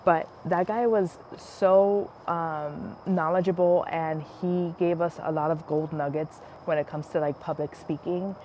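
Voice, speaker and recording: A woman's voice: deep voice, steady tone, unhurried, few pauses, dark tone, low-quality recording. A woman from the United States whose first language was Spanish, hosting a casual wine podcast in a chatty way, with many "like"s and "um"s. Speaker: podcast host. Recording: faint background noise, passing airplane.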